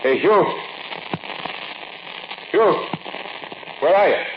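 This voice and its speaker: A man's voice: sits high, animated, drawn-out words, full character voice. An actor playing a nervous, jumpy henchman in an old-time radio crime drama, speaking with urgency. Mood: anxious, urgent. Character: nervous male henchman with a small gun. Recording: echoey, muffled old radio recording with crackle.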